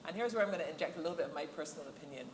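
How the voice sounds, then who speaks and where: A Barbadian woman moderating a webinar panel, posing questions in a clear, measured way. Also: low-pitched, a little hiss or hum. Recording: echoey room, faint ambience.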